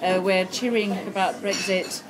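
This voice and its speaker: A woman's voice: mid-range voice, fairly even intonation, normal speed, low-quality recording. A woman in her thirties from France, a guest who talks hesitantly with many fillers. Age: 30s. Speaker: guest, community worker. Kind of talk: casual chat. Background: crowd chatter.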